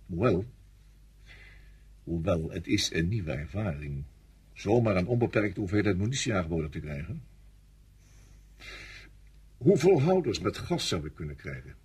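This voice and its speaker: A weary divisional general in a radio play. His voice is low and heavily rasping. He grumbles with gruff sarcasm while staying deferential to rank. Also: sing-song, normal speed, long pauses, darkish tone, exaggerated voice. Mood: musing. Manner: gruff, sardonic, reluctant. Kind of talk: radio drama acting.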